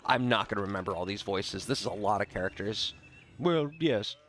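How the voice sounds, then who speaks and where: A man's voice: on the low side, fairly even intonation, fast talker, a bit bright, a little hiss or hum. A man chatting casually as he streams a game, with a joking, self-deprecating manner. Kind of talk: casual game playthrough chat.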